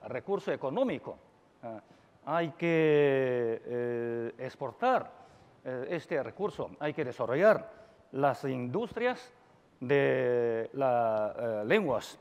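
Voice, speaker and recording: Slow-speaking man, native Chinese speaker, echoey hall. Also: on the high side, a little mellow. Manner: slow and deliberate, with many filler sounds and restarts. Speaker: invited speaker at a language forum. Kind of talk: formal conference talk. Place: large lecture hall. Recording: dull echoey hall recording.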